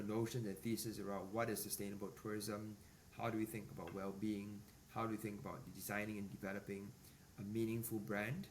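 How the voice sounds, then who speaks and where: A man in his forties from Singapore, a business executive answering podcast interview questions in a measured way with many fillers and restarts. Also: lowish voice. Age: 40s. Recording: distant mic with faint ambient noise.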